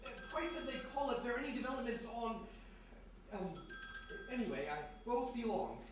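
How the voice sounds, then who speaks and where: A man playing a nervous, bashful young embassy bureaucrat in love, with halting speech, in old-time radio style. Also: very high-pitched, lively intonation, pitched above the performer's own voice. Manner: halting, stammering. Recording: very echoey live room, distant mic, noisy.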